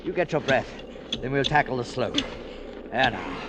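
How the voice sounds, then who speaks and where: An actor playing a weary, gentle, self-doubting invalid mountaineer in a 1940s radio drama, speaking hesitantly and reassuringly, on a noisy vintage broadcast. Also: male voice, mid-range voice, animated, moderate pace, natural voice. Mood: urgent, reassuring.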